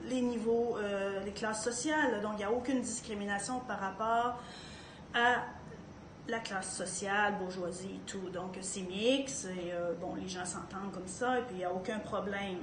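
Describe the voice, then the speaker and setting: A woman's voice: mid-range voice, moderate pace, reverberant, noisy background. A woman from Canada with a Canadian French accent, speaking to camera in a casual monologue, hesitant, with many fillers and restarts. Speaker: party member speaking to camera.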